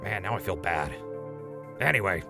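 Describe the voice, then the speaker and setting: A chatty, nervy radio host of the wasteland, acted by a man, wisecracking his way through a radio drama. The voice sits low with a heavy gravelly fry, over a bed of music. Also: expressive, normal speed. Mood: casual, amused. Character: chatty wisecracking male post-apocalyptic radio host, nervy but loyal. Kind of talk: radio drama, in-character sponsor reads and banter.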